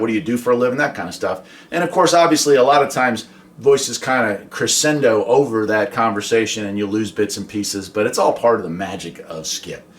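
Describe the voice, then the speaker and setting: A man from the United States talking casually and explaining his radio hobby in a chatty, folksy way. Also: medium pitch. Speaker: hobby vlogger and CB radio operator. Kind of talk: casual explainer talk with radio call demonstrations.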